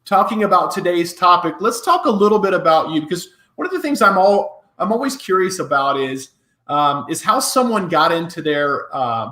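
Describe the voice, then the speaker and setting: A man hosting a podcast who opens the show and interviews a guest in an upbeat, energetic way. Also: a little high, roomy sound. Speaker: podcast host.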